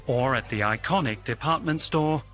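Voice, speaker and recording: A man reading a scripted travel narration in a smooth, measured way. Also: mid-range voice, a bit bright, soft background music. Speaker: documentary narrator.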